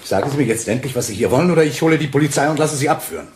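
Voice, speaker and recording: Bullying, scheming husband played by a man for radio thriller. Very animated intonation, blustering delivery, faint noise behind. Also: medium pitch, racing, natural voice. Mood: angry, alarmed. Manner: sharp, blustering, theatrical. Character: domineering, cornered murderous husband, bullying and scheming. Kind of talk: German radio play acting.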